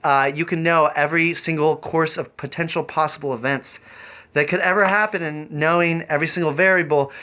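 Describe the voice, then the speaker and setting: Man, slightly high voice, very bright and forceful, eccentric, halting, improvised livestream chat. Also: steady tone. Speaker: live streamer and variety performer.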